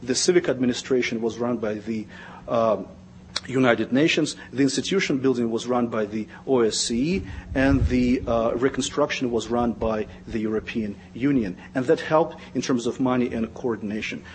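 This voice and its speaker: A man speaking on a conference panel, measured and pausing often, repeating words as he searches for them. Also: mid-range voice, slight background noise.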